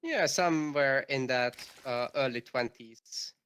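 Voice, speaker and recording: A man with a Hungarian accent co-casting a strategy game, calmly explaining builds and upgrades. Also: medium pitch, unhurried, clear, resonant tone. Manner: explanatory, analytical. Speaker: guest co-caster. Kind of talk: casual game analysis.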